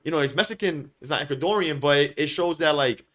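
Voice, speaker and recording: Young male podcast host, quick rambling casual talker, slightly high voice. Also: a bit bright. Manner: fast, rambling, repeats himself, lots of filler. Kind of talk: casual chat.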